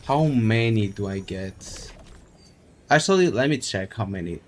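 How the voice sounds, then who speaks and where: A man's voice: on the low side, expressive, measured pace, a little mellow. A man streaming a game, chatting casually and rambling as he thinks aloud. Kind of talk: casual live-stream chat while playing. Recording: game music behind the voice.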